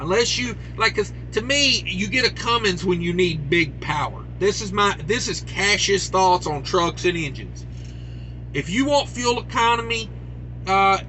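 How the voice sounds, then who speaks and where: A man's voice: sits high, pushed and bright, slight background noise, rough recording. A man hosting a trucking news show, reading stories and rambling into joking asides. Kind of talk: casual news commentary.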